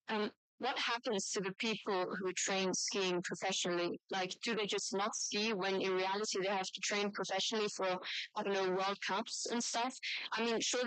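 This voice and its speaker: Woman debating at a youth parliament, super clear voice, pretty even intonation, dry sound. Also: medium pitch, dry recording, rough recording.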